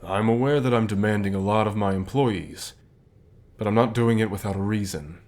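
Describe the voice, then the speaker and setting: A man playing a calm, reassuring company boss with gentle authority, speaking softly in measured phrases for an ASMR roleplay. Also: fairly low pitch, close to an everyday voice. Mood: patient, explaining. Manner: measured, soft-spoken, deliberate pauses. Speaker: voice actor in an audio roleplay.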